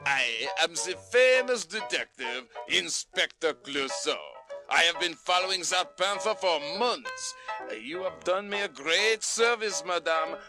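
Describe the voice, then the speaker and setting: A man's voice: sits high, expressive, unhurried. A man playing a vain, bumbling detective in a comic children's radio drama. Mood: triumphant, boastful. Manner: self-important, boastful, florid. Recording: 1980s LP record, music behind.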